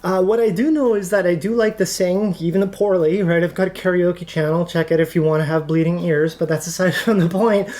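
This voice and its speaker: A woman's voice: lowish voice, fairly even intonation, a little fast, hardly any pauses, mellow. A woman hosting a podcast, talking candidly and casually to her audience, her voice held up with a raised voice box. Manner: candid, chatty, laughs easily. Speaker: podcast host. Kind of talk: casual monologue answering viewer questions.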